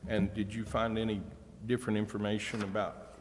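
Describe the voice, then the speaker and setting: Lower-pitched American man in a reverberant hall. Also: level tone, a little fast. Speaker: city council member. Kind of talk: questioning at a public meeting. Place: large indoor council chamber. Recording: reverberant hall, faint background noise.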